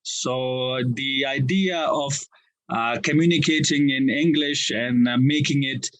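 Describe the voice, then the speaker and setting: Afghan man interviewee, business manager, halting speech full of "uh"s, mid-range voice. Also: medium pitch, fairly bright tone, low-quality recording. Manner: hesitant, many fillers and restarts. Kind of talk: podcast interview.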